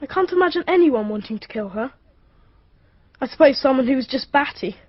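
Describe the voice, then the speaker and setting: A woman's voice: high-pitched, expressive, a little fast, husky, no room sound. A woman playing a blunt, offhand schoolboy in a radio mystery drama, dismissive and matter-of-fact. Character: blunt, scornful schoolboy, brother of a dead girl. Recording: muffled radio drama recording.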